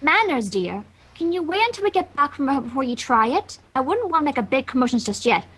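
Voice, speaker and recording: Woman doing prim fussy grown-up pony lady, bright lively voice, big sister scolding gently. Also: high voice, brisk. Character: refined, motherly adult mare, a fussy big sister.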